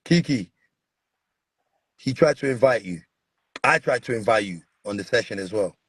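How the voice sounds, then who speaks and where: A man with a husky voice hosting a casual podcast chat, pressing his guests with the same question again and again. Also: lowish voice, normal speed. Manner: animated, repeats questions insistently. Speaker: podcast host.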